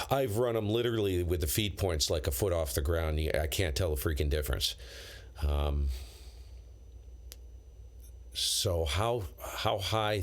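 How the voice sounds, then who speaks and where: A man with a very low voice and an American accent talks off the cuff as an amateur radio operator on a live stream. He rambles in blunt, slangy, casual phrases. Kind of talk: casual unscripted chat with viewers, on-air call signs.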